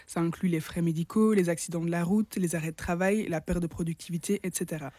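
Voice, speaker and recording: A woman presents as a podcast co-host in a soft, mellow tone. Her delivery is scripted and articulate, leaning on rhetorical questions. The sound is dry, with no room around the voice. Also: fairly low pitch, steady tone, fast, no echo.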